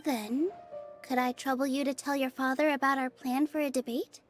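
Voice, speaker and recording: A woman's voice: high-pitched, flat delivery, moderate pace, soft background music, natural voice. A woman voicing a young, sweet dancer who speaks politely, earnestly and modestly. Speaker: theater dancer. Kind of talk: game voice acting.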